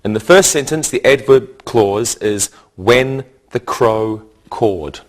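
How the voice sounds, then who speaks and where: A man teaching English grammar in a scripted lesson, speaking in a deliberate, didactic way and setting out each point in short phrases. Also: fairly low pitch, animated.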